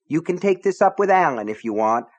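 A man's voice: very animated, brisk, breathy edge, highs cut off. An adult man voicing a scrawny, nervous small-town deputy who talks in a shaky, defiant way. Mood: agitated. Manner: high-strung, trembly, defiant.

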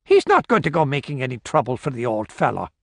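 A man's voice: medium pitch, huge pitch swings, quick pace, vocal fry, dry recording, clean studio sound, heavily acted. A man putting on a gossipy old village woman. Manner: gossipy, scolding, knowing.

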